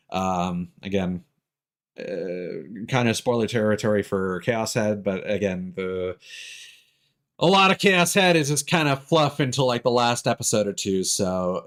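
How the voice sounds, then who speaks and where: A man giving casual let's-play commentary as a streamer, talking in a rambling, halting way. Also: medium pitch, fairly bright tone. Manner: rambling, hesitant, halting delivery; casual swearing. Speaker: game streamer, host. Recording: game music behind the voice. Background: video game music.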